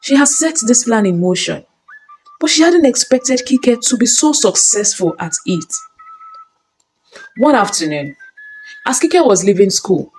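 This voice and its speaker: A woman narrating a scripted dramatic story in a measured, deliberate storytelling manner. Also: fairly high pitch, big pitch swings, darkish tone, a little hiss or hum, poor audio.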